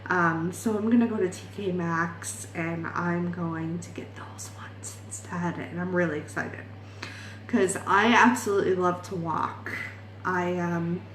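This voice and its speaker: A woman's voice: fairly low pitch, steady tone, measured pace, fairly bright tone, roomy sound, slight background noise, low-quality recording. A woman in her late twenties from Ireland, chatting in a rambling, self-deprecating way in a makeup vlog. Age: 20s. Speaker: beauty vlogger.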